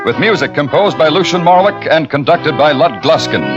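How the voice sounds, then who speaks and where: A male announcer giving a formal, measured broadcast announcement of credits and next week's program. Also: fairly high pitch, expressive. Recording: lo-fi old radio with loud music.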